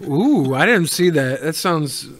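A man's voice: a little high, animated, takes it slowly, a bit bright. A man on a podcast panel trading casual, joking banter.

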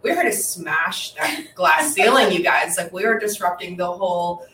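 A woman's voice: on the low side, normal speed, pushed and bright, slight background noise. A woman chatting in an emphatic, rallying way. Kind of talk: casual chat. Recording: echoey room, mic at a distance.

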